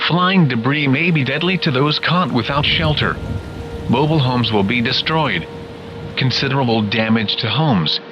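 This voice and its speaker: A man's voice: mid-range voice, close to an everyday voice. Man as automated emergency weather announcer, dull radio sound, heavy noise, formal, impersonal, scripted bulletin. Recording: muffled, very noisy weather radio broadcast.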